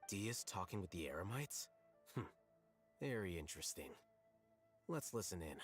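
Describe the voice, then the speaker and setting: A calm analytical scholar. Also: male voice, sits low, faint music behind. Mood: measured. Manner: measured, deductive. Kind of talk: game voice acting.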